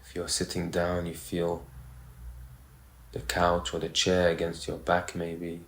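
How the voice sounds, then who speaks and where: A man leading a guided meditation, speaking in short phrases with pauses between them. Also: deep voice, monotone. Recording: roomy, echoey sound.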